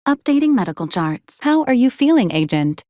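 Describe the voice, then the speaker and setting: A polite, clinical robot assistant, very dry sound. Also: female voice, a little high, very animated, a little fast, mellow, dry recording. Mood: formal, detached. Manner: formal, precise, detached, clipped robotic announcements. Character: polite female robot assistant unit, clinical, literal, unflappable. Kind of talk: scripted video game character lines.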